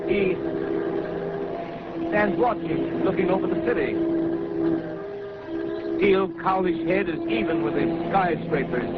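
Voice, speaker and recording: An actor playing a grim radio announcer in a 1930s radio drama, delivering halting bulletins. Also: male voice, sits high. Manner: grave, halting bulletin reports. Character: grim male radio announcer reporting the end. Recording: lo-fi old radio broadcast with loud noise.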